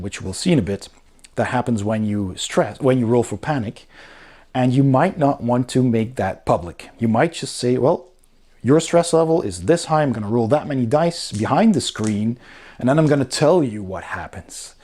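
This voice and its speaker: A man's voice: medium pitch, animated, normal speed, a little mellow. A man reviewing a game rulebook in a casual, explanatory way, talking informally as he goes through it.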